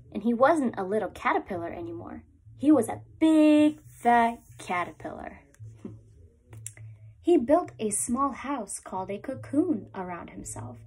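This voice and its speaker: Gentle young woman reading to preschoolers. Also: on the high side, husky. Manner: gentle, animated storytime voice, lifts up on repeated lines. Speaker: preschool teacher. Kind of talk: reading a picture book to young children. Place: small room at home, indoors.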